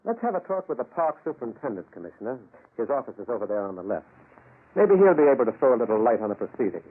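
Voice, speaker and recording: An actor playing a confident, soothing criminologist in an old-time radio drama, speaking in an articulate, theatrical and reassuring way. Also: male voice, medium pitch, big pitch swings, fast, breathy edge. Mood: brisk, assured. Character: confident, soothing male criminologist investigating eerie mysteries. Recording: muffled vintage radio broadcast.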